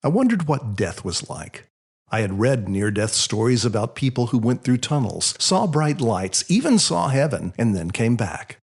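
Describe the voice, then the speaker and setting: A man reading his own first-person story as a scripted audiobook, in an expressive, reflective storytelling manner. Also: medium pitch, normal speed, vocal fry, slightly rough.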